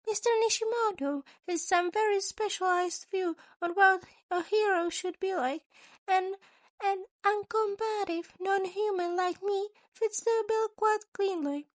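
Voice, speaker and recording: A woman's voice: extremely high pitch, no room sound, voice pushed up. A woman voicing a small male animal school principal, a cunning, gleeful schemer who talks in formal, wordy sentences in a fan fiction audio story. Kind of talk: acted dialogue in a fan fiction reading.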